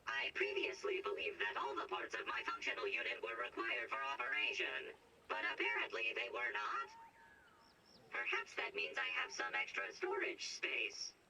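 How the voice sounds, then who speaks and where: Fussy robot assistant, buzzy and gravelly synthetic voice, stuttering glitches, wildly swinging pitch, polite, video game. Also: wildly swooping pitch, moderate pace, pushed and bright, recorded from a distance, faint music behind, heavily acted, low-quality recording. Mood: polite, earnest. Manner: formal, literal, stuttering on words. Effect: robot voice processing. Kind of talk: scripted game audio log.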